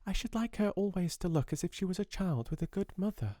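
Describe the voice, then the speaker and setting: A quaint little girl from a storybook, played by a man, speaks in a high, small voice. She is soft, polite and earnest, and the sound is close and dry. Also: expressive, a little fast, no echo. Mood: earnest, tender.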